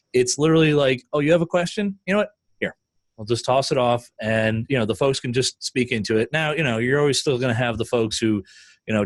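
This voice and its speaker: A man hosting a podcast, chatty and rambling, full of "you know" and "like." His voice is mid-pitched and recorded dry and close, with no room sound. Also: dry recording. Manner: chatty, rambling with many fillers. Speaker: podcast host. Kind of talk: casual panel chat.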